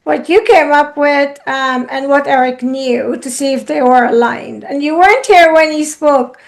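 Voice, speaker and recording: A woman's voice: sits high, moderate pace, gravelly. A woman from Guyana hosting a casual talk show, asking questions and steering the conversation. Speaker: host.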